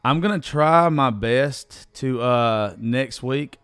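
A man's voice: medium pitch, takes it slowly, fairly bright tone, clear, resonant tone, no room sound, studio quality. A man with a Southern US accent hosts a podcast solo in a casual, rambling, folksy and profane way, his voice strained from recently losing it. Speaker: podcast host.

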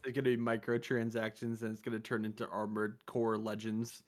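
A man's voice: on the low side, completely flat delivery, brisk. A man in his thirties co-hosting a casual gaming podcast, laid-back, laughing easily and using casual slang. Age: 30s. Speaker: podcast co-host.

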